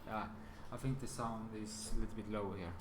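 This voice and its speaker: Halting non-native gamer man, echoey. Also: fairly low pitch, fairly even intonation, background noise. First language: not English. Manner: hesitant, searching for words. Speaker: gaming YouTuber. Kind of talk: casual let's-play commentary.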